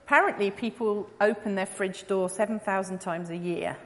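A woman's voice: medium pitch, normal speed, a bit bright, slight background noise. English woman speaking in a reverberant hall. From England. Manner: chatty, many ums, light laughing asides. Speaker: missionary speaker. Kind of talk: informal talk to a church audience. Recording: long reverb of a large hall.

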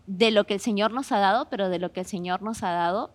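A woman's voice: mid-range voice, quick pace, no room sound. Peruvian woman chatting as podcast guest. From Peru. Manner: explanatory, often checking with tag questions. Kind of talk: conversational, anecdotal talk.

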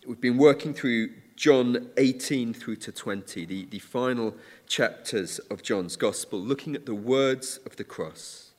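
A man preaching a sermon in a church, measured and earnest, with occasional restarts. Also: medium pitch, darkish tone. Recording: church hall reverb.

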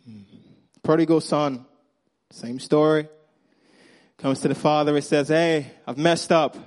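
A man with a Nigerian accent preaching a sermon, acting out the characters' lines and swinging between loud emphasis and quiet, hushed moments. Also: fairly high pitch, unhurried. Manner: animated storyteller, acts out Bible characters' lines.

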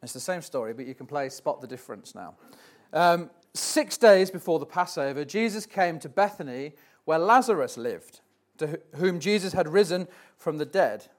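A man's voice: fairly high pitch, expressive, moderate pace. A man filling in as preacher, giving a church sermon and reading scripture in a hesitant, self-deprecating way with many ums. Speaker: stand-in preacher. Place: church platform before a congregation.

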